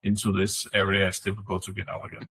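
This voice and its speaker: Guest, middle-aged man, lowish voice, thoughtful and halting. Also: monotone, a bit bright, breathy edge. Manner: thoughtful, searching for words. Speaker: guest, fintech executive. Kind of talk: podcast interview.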